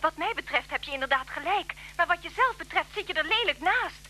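Urgent, very high-voiced woman caller. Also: expressive, a little fast, sharp tone, full character voice. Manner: insistent, emphatic. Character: urgent, alarmed woman friend phoning with a warning. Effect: telephone. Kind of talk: Dutch radio drama acting. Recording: noisy old radio drama recording.

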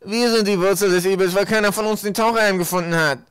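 A man voicing a quarrelsome, accusing islander in an agitated, shouting manner. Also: sits high, steady tone, fast, clean tone, dry recording, pitched above the performer's own voice.

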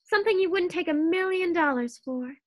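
A woman plays a wisecracking radio sitcom housewife with a very high voice and lively swings in pitch. She coaxes and teases with brisk affection. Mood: wry, reassuring. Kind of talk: 1940s radio sitcom acting.

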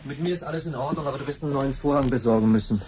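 A man plays a sullen, indifferent young adult son in a 1970s radio drama, on a noticeably noisy old recording. He talks quickly in a mid-range voice, flippant and defiant. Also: mid-range voice, warm tone, lightly acted. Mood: offhand. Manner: flippant, offhand. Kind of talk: 1970s German radio play acting.

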